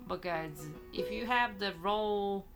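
A woman co-hosting a podcast, speaking softly and slowly in casual chat. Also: mid-range voice, music in the background. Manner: slow, soft-spoken, brief backchannels. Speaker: podcast co-host.

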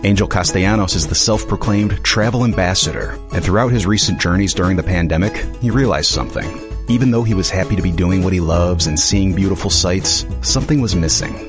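A man narrating a scripted radio promo in a measured announcer delivery. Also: sits low. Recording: music bed behind voice.

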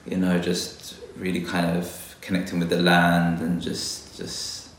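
A man's voice: low voice, monotone, measured pace, heavy reverb, slight background noise. A man from London, a guest botanist, talking reflectively in a casual interview, hesitant with many fillers. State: a little nervous.

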